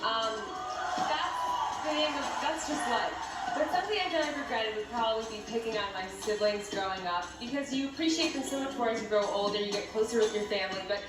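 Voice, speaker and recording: A woman's voice: fairly high pitch, a little fast, far from the mic. A woman pageant contestant giving a casual, conversational answer onstage. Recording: echoey arena broadcast with music.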